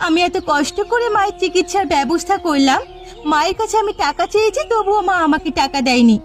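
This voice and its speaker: A woman playing a hurt, long-suffering young village wife in a cartoon melodrama, with quick, plaintive delivery. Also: extremely high pitch, expressive, over music. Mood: hurt, indignant. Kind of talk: melodramatic cartoon family comedy acting.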